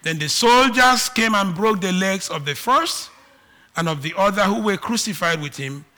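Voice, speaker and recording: A man from Nigeria with a Nigerian accent, preaching a sermon and moving between loud emphatic declarations and quiet asides. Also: high voice, animated, normal speed.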